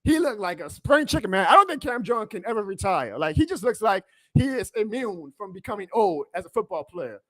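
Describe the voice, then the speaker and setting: A man hosting a live sports reaction stream, excitable and emphatic, his voice going hoarse. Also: high-pitched, a little fast, few pauses, no echo. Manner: excitable, emphatic, plugs merch, reads chat aloud. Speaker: sports fan livestream host.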